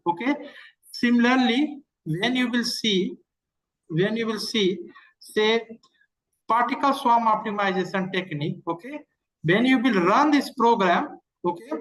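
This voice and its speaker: An instructor giving a live online technical lecture and answering questions, speaking haltingly and repetitively with frequent verbal tags. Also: medium pitch, fairly bright tone, rough recording.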